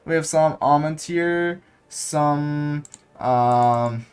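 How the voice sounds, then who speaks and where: A man's voice: a little high, extremely slow, smooth, faint music behind. A man from Iran with an Iranian accent, a native Persian speaker, giving casual gameplay commentary and hesitantly searching for English words. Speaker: gaming content creator.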